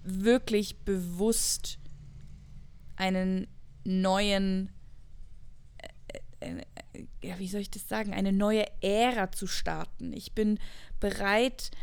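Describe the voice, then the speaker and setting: Woman late 20s doing a personal podcast monologue, slow and thoughtful, medium pitch, earnest. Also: fairly even intonation, smooth. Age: late 20s, turning 30. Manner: earnest, introspective, emphatic repetition of words. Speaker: podcast host. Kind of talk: reflective personal monologue, unscripted.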